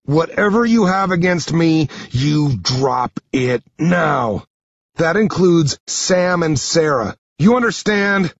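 A man voicing a tough, protective teenage boy in an audiobook, with a forceful, commanding delivery. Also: lowish voice, expressive, slow pace, breathy edge, no echo, dull audio, heavily acted. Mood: commanding, angry. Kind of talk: dramatic first-person audiobook dialogue.

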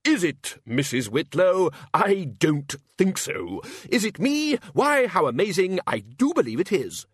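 A man's voice: sits high, very animated, a little slow, hardly any pauses, husky, no room sound, clean studio sound, pitched above the performer's own voice, heavily acted. A man voicing a bossy, sarcastic older wizard who runs a university, blustering and emphatic, in a storybook reading. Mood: sarcastic indignation.

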